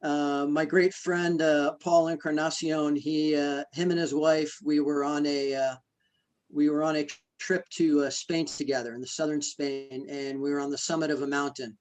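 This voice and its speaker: A man talks things through in a thoughtful interview, stopping and restarting often and filling gaps with small fillers. His voice sits a little high for a man. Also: little pitch movement, darkish tone. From United States. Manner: halting, reflective, many fillers and restarts. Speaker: guest, ultrarunner. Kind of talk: casual interview conversation.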